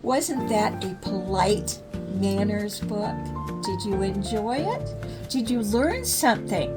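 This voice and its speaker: A woman's voice: sing-song, takes it slowly, over loud music. An older woman, a storyteller, reading a bedtime story to children. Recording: faint low rumbling background noise.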